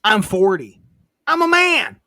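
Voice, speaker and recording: A man's voice: high voice, unhurried, dry recording, clean studio sound. A man in his forties co-hosting a podcast in casual chat, joking and swearing freely. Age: 40s. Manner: joking, swears freely, lively shifts of level. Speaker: podcast co-host.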